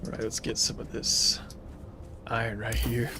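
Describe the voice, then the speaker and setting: A man's voice: mid-range voice, completely flat delivery, moderate pace, gravelly, over loud music. A man in his thirties streaming a game live, chatting casually in a rambling way and answering viewers' chat as he plays. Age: 30s.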